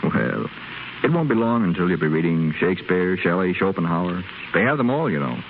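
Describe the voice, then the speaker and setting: A man's voice: low voice, animated, brisk. An actor playing a young wealthy man who trained as a doctor, earnest and eager, with a slight stammer. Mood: gentle. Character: young wealthy man, former medical student, lovesick and eager. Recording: tinny noisy 1930s radio broadcast.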